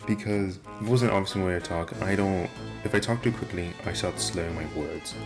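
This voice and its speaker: A young man with a deep voice and nonstandard R sounds, talking casually and self-deprecatingly to the camera. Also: normal speed. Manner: rambling, self-deprecating, many restarts. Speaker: vlogger. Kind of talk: casual rambling monologue. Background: video game music playing.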